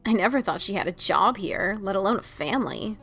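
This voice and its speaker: A woman acts out a brash pony adventurer who doubts herself. She stammers and hesitates in a casual way, with a gravelly fry at mid pitch. Faint music plays under this fan audio drama. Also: lively intonation, brisk, fairly bright tone, exaggerated voice. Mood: rueful. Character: brash, self-doubting female pegasus pony adventurer-writer.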